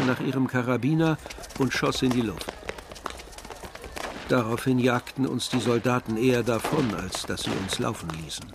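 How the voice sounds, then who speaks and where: A man's voice: mid-range voice, heavy background noise, natural voice. An actor playing a reflective village man recounting wartime memories in literary radio drama narration. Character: reflective male villager recalling war and his brother. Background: music.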